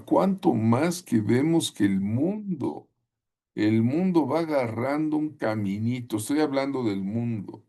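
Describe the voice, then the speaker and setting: A man teaching a Bible school class in a preaching style. He talks deliberately, often pausing on "eh", adding tag questions, and calling on listeners at home to repeat phrases. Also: medium pitch, dark tone.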